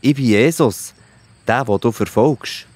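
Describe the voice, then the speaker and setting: Ein Mann spricht eine feierliche, ferne Himmelsstimme. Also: male voice, mid-range voice, darkish tone, husky. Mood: solemn. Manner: slow, solemn calling. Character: divine voice of Jesus, calm, solemn man. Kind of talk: children's audio drama.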